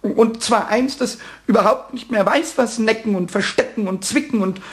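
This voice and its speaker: A man playing a small, cheeky, childlike kobold in a children's radio play, squeaky and stammering eagerly. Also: normal speed, close to an everyday voice. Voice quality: squeaky, creaky cartoonish voice. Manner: stammering, repeats words eagerly.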